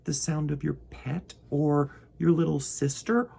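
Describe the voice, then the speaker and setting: A man hosting a children's storytime, reading a picture book aloud in an expressive, theatrical and playful way. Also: on the high side, unhurried, gravelly, husky. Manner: expressive, dramatic, playful with sound words.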